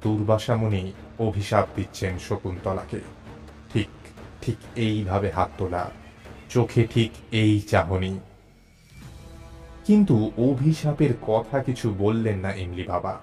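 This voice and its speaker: A man narrating a suspense story in the first person, with deliberate, dramatic phrasing and pauses. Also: fairly low pitch, a little mellow. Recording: narration over clear background music. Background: music.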